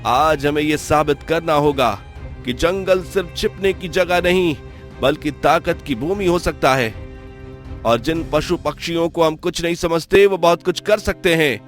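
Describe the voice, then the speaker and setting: A man's voice: a little high, unhurried, soft background music, lightly acted. A man playing a noble young prince in a cartoon moral story, speaking earnestly and in a declamatory way. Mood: resolute.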